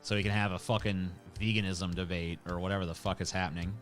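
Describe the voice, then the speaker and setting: A man's voice: low voice, flat delivery, quick pace, fairly bright tone, soft background music. A man hosting a livestream, reading chat comments aloud in a rambling, profane, casual way. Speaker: livestream host.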